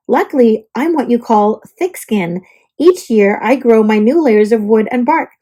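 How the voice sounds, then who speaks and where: Woman as a bubbly, high-voiced young oak tree. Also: darkish tone. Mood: cheerful, dramatic. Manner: bubbly, theatrical, exclaiming. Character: perky, dramatic, self-admiring young oak tree. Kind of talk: storybook diary read-aloud.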